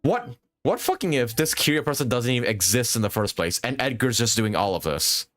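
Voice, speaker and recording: A man's voice: medium pitch, big pitch swings, brisk, a bit bright. A man live-streaming a game, reacting casually and thinking aloud in an informal, sweary way.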